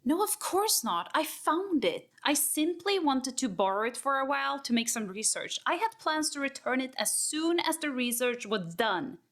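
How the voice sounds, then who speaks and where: A woman's voice: high-pitched, very animated, moderate pace, few pauses, fairly bright tone, heavily acted. A woman playing an eccentric, curious tech-priest scholar, affectionate and a little nervous, in a sci-fi audio drama. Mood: nervous pleading.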